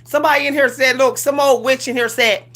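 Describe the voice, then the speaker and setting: A woman's voice: high voice, fairly even intonation, quick pace, fairly bright tone. Woman, late forties, sassy, laughs a lot, casual livestream talk. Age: 40s. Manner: sassy, repetitive, laughs often, colloquial. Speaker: livestream host.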